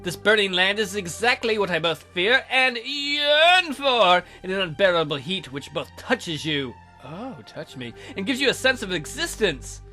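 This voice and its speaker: A man hosting a let's play, reading a visual novel aloud in a theatrical, expressive way. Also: high-pitched, unhurried, few pauses. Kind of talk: dramatic read-aloud of a visual novel, with casual asides. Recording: music behind the voice.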